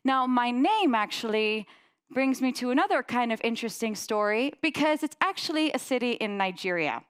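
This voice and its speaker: A young woman from the Netherlands giving a presentation, telling personal stories in an animated way and laughing often. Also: fairly high pitch, moderate pace, clear, resonant tone. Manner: animated, laughs often, lively voices in anecdotes.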